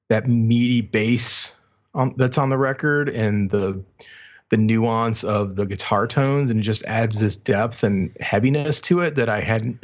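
A man's voice: mid-range voice, dull audio. A man co-hosting a podcast, giving a reflective review of music in casual chat as he feels his way through his thoughts. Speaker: podcast co-host.

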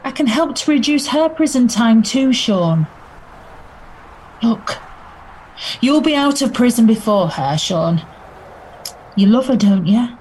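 An actress playing a calm, persuasive detective inspector in an audio drama. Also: female voice, a little high, slow pace, pauses often, slight background noise, rough recording. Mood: calm, coaxing.

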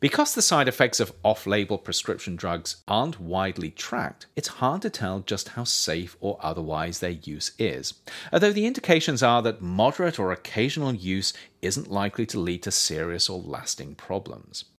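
A man reading his own nonfiction book aloud as scripted narration, with measured, deliberate phrasing. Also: low-pitched, sing-song, a bit bright.

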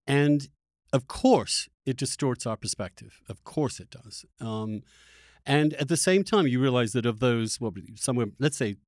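A man discussing politics in an opinionated interview style, speaking haltingly and emphatically and often repeating phrases for weight. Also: on the low side, no room sound, clean studio sound. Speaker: podcast host.

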